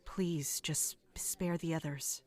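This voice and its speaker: A woman's voice: low voice, steady tone, slow, a little mellow, whispered. A woman playing a dutiful, self-sacrificing military captain, contrite and formal, in an audio drama. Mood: contrite, pleading.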